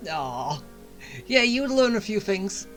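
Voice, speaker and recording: Slow-talking, laid-back woman gamer. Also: very animated, faint music behind. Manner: laid-back, low-key. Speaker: streamer reacting while playing a game. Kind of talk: casual gameplay commentary.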